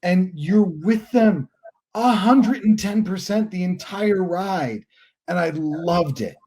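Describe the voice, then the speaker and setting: Man, enthusiastic podcast co-host, high voice, soft mellow timbre. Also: expressive, slow. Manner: enthusiastic, gushing. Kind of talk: casual film review chat.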